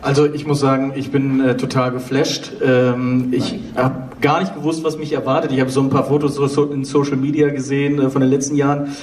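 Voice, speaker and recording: A man's voice: mid-range voice, level tone, a little fast, darkish tone. A man giving a casual, informal answer as a guest on a live panel. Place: theatre stage, indoors. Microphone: handheld mic, recorded from audience. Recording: echoey, distant stage recording with noise.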